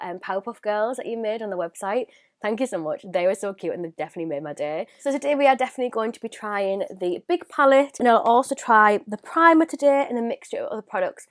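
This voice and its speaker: Woman, young adult, bunged up with a cold, casual beauty chat, laughs a lot, drops to a hush while applying. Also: mid-range voice. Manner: chatty, giggly, drops to a near whisper while applying makeup. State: congested with a cold. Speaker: beauty vlogger. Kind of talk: casual makeup review.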